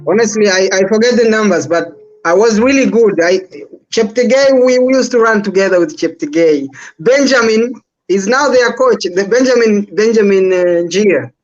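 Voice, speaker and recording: A man's voice: high-pitched, moderate pace. A man with an East African accent chatting casually as a guest on a live stream, over a dull online call. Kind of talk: casual chat.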